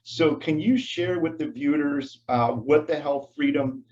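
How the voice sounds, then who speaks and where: A male interview host asking questions in a friendly, halting way with many fillers. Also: fairly high pitch, a little mellow, highs cut off.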